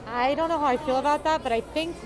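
Higher-voiced young woman, chatty food review. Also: quick pace. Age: young adult. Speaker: restaurant review host. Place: themed restaurant, indoors. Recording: restaurant chatter and background music.

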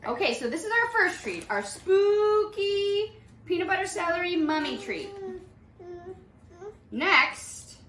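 A woman's voice: high voice, bright, forceful tone. A woman, giving a playful, sing-song cooking demonstration for young children. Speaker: preschool teacher hosting a children's cooking class. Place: small indoor playroom kitchen set. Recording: echoey room with some background noise.

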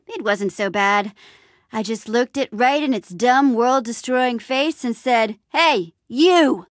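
An upbeat young female adventurer from a video game. Her voice sits fairly high and swings expressively through its range, recorded close and dry. She talks in a friendly, casual, quippy way, like a small-town hero. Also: dry recording. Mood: fond, reminiscing. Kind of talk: video game character acting.

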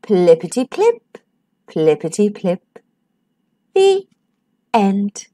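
A woman reading a picture book aloud to children in a sing-song voice, with dramatic pauses. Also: mid-range voice, very animated, dark tone. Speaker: children's storyteller.

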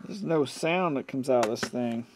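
A man's voice: mid-range voice, normal speed. A man giving offhand, casual repair commentary, half talking to himself as he works with his hands. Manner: offhand, muttering to himself while working. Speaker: host of an electronics repair channel. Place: indoor workbench. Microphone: camcorder mic near the workbench. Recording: steady background noise, clicks of handling.

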